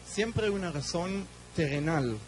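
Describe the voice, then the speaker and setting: A man's voice: fairly high pitch, expressive, slight background noise, poor audio. Israeli man preaching in short phrases. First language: Hebrew. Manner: speaks in short phrases, pausing for the interpreter. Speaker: guest preacher. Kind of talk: sermon with live interpretation.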